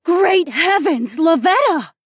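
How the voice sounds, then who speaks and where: A woman playing an awed, excitable young woman in a fantasy audiobook. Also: very high voice, slow, no echo, studio quality, full character voice. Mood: awestruck.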